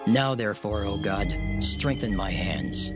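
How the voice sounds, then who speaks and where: A man narrating scripture in the first person in a dramatized reading, solemn and measured. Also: fairly low pitch. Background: music.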